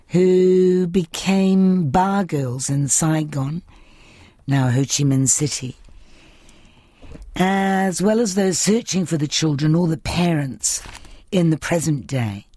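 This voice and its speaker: A radio host reads an introduction and asks questions at a very slow, deliberate pace. The voice sits in the middle of the range, recorded in a very dry studio. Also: mid-range voice, a little mellow, no echo. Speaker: radio interviewer. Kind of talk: scripted introduction and interview.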